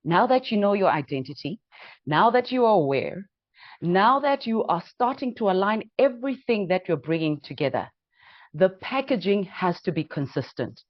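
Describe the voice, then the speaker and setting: A woman's voice: mid-range voice, lively intonation, normal speed, no room sound, muffled recording. A woman, a personal branding coach giving a motivational talk, emphatic and building her points through lists and rhetorical questions.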